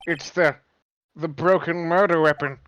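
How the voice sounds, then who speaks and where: A man voicing a cool, proud prosecutor in a live fan dub, with a measured, haughty delivery. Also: on the high side, fairly even intonation, fairly bright tone, slight background noise, low-quality recording. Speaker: fan voice actor.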